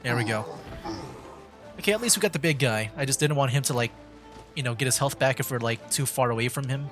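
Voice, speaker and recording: A man's voice: medium pitch, quick pace, a bit bright, soft background music. A man streaming a video game with rambling, laid-back, casual commentary.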